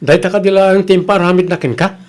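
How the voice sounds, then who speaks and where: A man's voice: high voice, fairly even intonation, fast, a little mellow, lightly acted. A man with an Ilocano Filipino accent playing a kind, generous householder in a radio comedy drama. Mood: kind, concerned. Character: kind, generous older-brother figure, a man.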